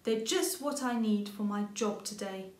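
Elderly storybook rabbit grandfather, calm and helpful, voiced by a woman, roomy sound. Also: medium pitch, moderate pace, natural voice. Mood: encouraging. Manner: calm, encouraging. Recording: roomy home recording.

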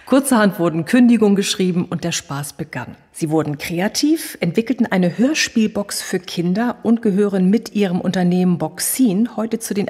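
A woman with a fairly low, husky voice speaks as a podcast host. She presents her guests in a prepared, interviewing style, and her voice carries a long reverb. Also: darkish tone. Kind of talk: prepared introduction and interview questions.

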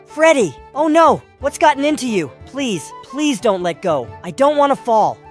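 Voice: high voice, sing-song, normal speed. A performer plays a young boy in a cartoon dub. He is nervous and urgent, stammering and pleading as he speaks over music. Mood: urgent, panicked. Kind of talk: comic dub character acting.

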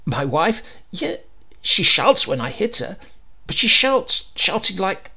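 A man's voice: high voice, sing-song, takes it slowly, gravelly, pushed and bright, breathy edge, voice pushed up, exaggerated voice. A man voicing an old rural postman in a storybook tale, naive, earnest, urgent and bewildered.

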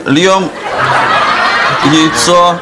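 A man's voice: fairly high pitch, drawn-out words, heavy background noise. A man cooking on a TV show, explaining each step with jokes and telling others what to do. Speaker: guest cook. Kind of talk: cooking demonstration banter. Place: studio kitchen set.

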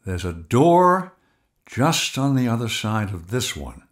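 Fretful, cautious man with animated delivery by an actor. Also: mid-range voice, takes it slowly. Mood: puzzled. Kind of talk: audio drama acting.

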